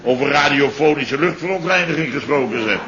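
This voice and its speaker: An actor playing a blustering, indignant middle-aged boss who complains in exclamations, in old radio comedy style. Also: male voice, mid-range voice, lively intonation, a little fast, a crowd in the background, natural voice. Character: blustering, indignant middle-aged boss grumbling about his holiday cottage. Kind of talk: 1970s Dutch radio comedy acting. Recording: muffled old radio recording.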